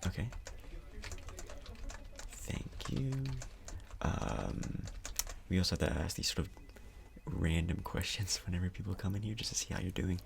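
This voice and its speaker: Soft-spoken man, low gravelly ASMR murmur. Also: lively intonation, normal speed, long pauses, background noise. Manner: hesitant, casual, reassuring. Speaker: ASMR performer role-playing a doctor. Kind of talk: soft-spoken ASMR role-play, near whisper.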